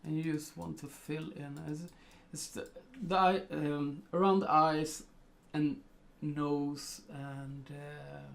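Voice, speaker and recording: A man from Sweden with a Swedish accent, talking through a live digital painting tutorial in slow, hesitant narration full of fillers. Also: a little high, little pitch movement, gravelly, a bit bright.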